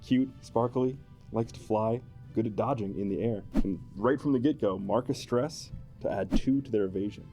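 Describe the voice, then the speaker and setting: Man, low relaxed voice, playful game explainer, faint music behind. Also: moderate pace. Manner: amused, playful, chuckling often. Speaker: tabletop game enthusiast presenting a character build. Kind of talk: explanatory chat with a co-host.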